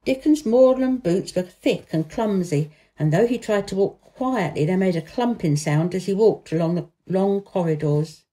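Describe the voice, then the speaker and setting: A woman storyteller giving an expressive narration of a children's book. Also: medium pitch, moderate pace, hardly any pauses, poor audio.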